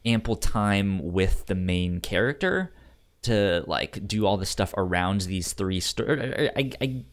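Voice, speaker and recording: A man co-hosting a casual film discussion podcast, halting and repeating words as he works out his opinions. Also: sits low, animated. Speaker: podcast co-host reviewing a film.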